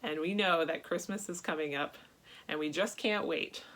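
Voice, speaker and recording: A woman with a slightly low voice tells a story to young children. She speaks in an animated, simple way, addressing her listeners directly like a Sunday school storyteller. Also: normal speed, low-quality recording. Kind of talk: read-aloud children's Bible story and chat to kids.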